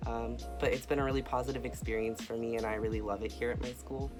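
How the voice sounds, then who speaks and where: A young man in a casual video update, talking earnestly and softly with many ums and uhs. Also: medium pitch, fairly even intonation, quick pace, music in the background. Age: young adult. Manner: soft-spoken, earnest, with frequent fillers. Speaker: vlogger.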